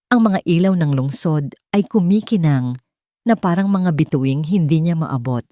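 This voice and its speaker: A woman's voice: low voice, warm tone, dry recording, studio quality. A woman reading a scripted dramatic story as its narrator.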